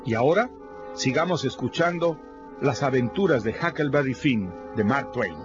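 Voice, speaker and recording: A man presenting a radio book program, introducing the next part of the story in a measured way. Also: medium pitch, expressive. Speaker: program host. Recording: dull radio recording with music. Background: clear music bed.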